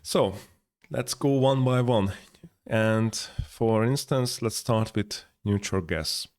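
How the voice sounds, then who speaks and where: A man teaches from a map in a lowish voice. He speaks in halting bursts, filling pauses with "uh" and correcting himself as he goes. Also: darkish tone. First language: Hungarian. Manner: halting, many fillers and self-corrections. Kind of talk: explaining over a map.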